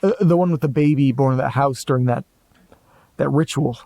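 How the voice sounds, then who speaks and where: A man playing an anxious amateur investigator in a horror audio drama, muttering hesitantly to himself in a gravelly voice. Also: medium pitch, brisk, dark tone, dry recording, lightly acted. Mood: puzzled, musing. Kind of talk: audio drama monologue, reading and musing aloud.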